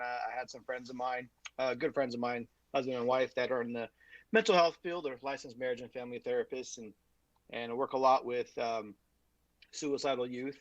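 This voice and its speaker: A man's voice: mid-range voice, no echo. A man talks through his story as a podcast guest, circling his points with fillers and false starts. The sound is dry and a little muffled, like a remote connection. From Utah, USA. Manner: rambling, many fillers and restarts. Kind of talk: casual interview talk.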